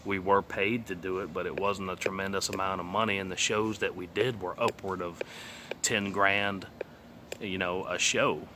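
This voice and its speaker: Texan man, late thirties, low-set voice; loose, filler-heavy personal testimony told outdoors. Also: fairly even intonation, normal speed, noisy background. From Texas. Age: 30s. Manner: rambling, plainspoken, many fillers and trailing asides. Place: outdoors on a sunny day.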